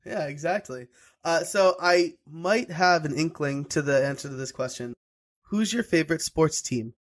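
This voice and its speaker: A man hosting a podcast, with a voice sitting a little high. He keeps it relaxed and upbeat, firing off short "awesome" and "very cool" replies between his questions. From New York. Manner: upbeat and encouraging, quick affirmations. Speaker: podcast host. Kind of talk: casual interview questions.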